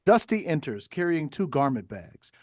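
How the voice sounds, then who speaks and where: A man's voice: a little high, sing-song, moderate pace, darkish tone. A man reading stage directions as scripted narration at a table read.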